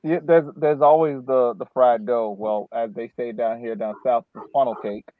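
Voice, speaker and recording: A man's voice: medium pitch, fairly even intonation, moderate pace, no room sound. A man co-hosting a sports podcast in casual chat, over a tinny, dry call connection.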